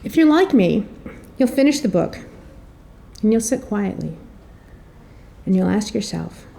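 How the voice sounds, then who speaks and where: A woman giving a prepared introduction speech to an audience in a theatrical, storytelling way, with rhetorical questions and pauses. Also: medium pitch, lively intonation, gravelly, dark tone. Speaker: editor and marketing director introducing an author. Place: room at a public presentation.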